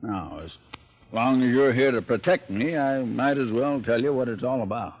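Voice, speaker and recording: A gruff, wealthy old American man played by a male radio actor, proud and secretive. He speaks bluntly and gives orders, in the manner of classic mid-century radio drama. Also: medium pitch, normal speed, close to an everyday voice. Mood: guarded, confiding. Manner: blunt, commanding. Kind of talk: 1940s-50s American radio drama acting.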